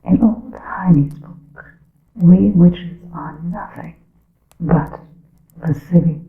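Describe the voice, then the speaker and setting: A hushed, muffled, echoing recording carries a woman acting as a persecuted witch from centuries ago. She whispers very slowly in a breathy, crackling voice, as in ASMR storytelling. Her phrasing is formal and archaic, with a wry edge. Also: lowish voice. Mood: wry, incredulous. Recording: muffled narrow-band lo-fi, echoey.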